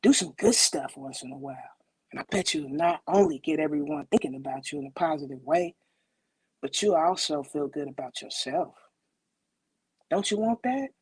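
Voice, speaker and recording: A woman performing an audition monologue as an exasperated relative, accusing and pleading in a rapid-fire delivery. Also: low voice, animated, a little mellow, slightly rough, no room sound, heavily acted, poor audio. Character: exasperated relative confronting an attention-seeking, drama-making family member.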